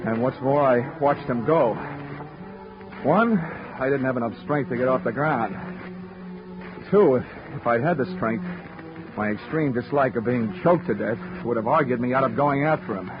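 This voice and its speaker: A man's voice: medium pitch, normal speed, natural voice. A man playing a wisecracking hard-boiled investigator who narrates in first person, in a 1940s radio drama on a dull old broadcast recording with music. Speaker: narrator and lead detective.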